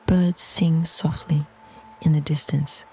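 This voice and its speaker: A meditation narrator speaking slowly and softly in a soothing, near-whispered delivery, reading a scripted guided visualization. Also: medium pitch, little pitch movement, soft background music, rough recording. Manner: slow, soft, soothing, breathy near-whisper phrasing.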